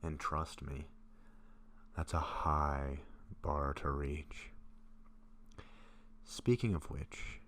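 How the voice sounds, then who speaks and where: Man playing a very deep, hesitant gentle vampire. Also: level tone, exaggerated voice. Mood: tender, happy. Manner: hesitant, breathy murmurs, halting phrases. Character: gentle, apologetic lovestruck young male vampire, shyly hungry. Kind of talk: intimate audio roleplay.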